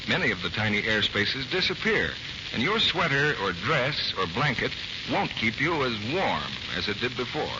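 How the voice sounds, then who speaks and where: An American man announcing in scripted 1940s radio style, with a polished, emphatic sales delivery. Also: mid-range voice. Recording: muffled, hissy 1940s radio recording.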